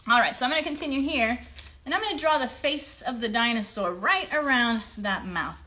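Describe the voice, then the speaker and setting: A woman's voice: on the high side, lively intonation, a little fast, bright, forceful tone. A woman hosting a children's craft livestream, chatting through each step in simple words and often checking in with her young viewers. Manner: chatty, encouraging, often asks the viewers questions; simple child-directed wording.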